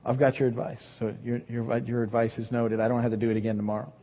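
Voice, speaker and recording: A man's voice: medium pitch, level tone, quick pace. A man in his fifties preaching a sermon, telling a story about himself with self-deprecating humor. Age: 50s. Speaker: pastor. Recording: dull sound in a reverberant hall.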